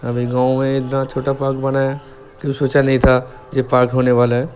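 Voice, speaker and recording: A man's voice: medium pitch, monotone, brisk, a little mellow. A young man in his twenties chatting casually to viewers while riding a motorbike on a village road, recorded outdoors with a helmet-mounted camera and road noise around him. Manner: chatty, informal, addresses viewers as guys. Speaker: motovlogger.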